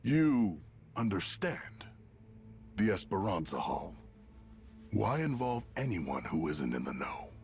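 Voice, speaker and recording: Video game villain, male: polished, menacing businessman-gangster, formal and superior, deep register, swinging delivery. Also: big pitch swings, slow pace, long pauses, darkish tone, slight background noise, heavily acted. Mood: suave, scheming. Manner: smooth formal menace, condescending. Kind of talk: dramatic video game voice acting. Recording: game music behind.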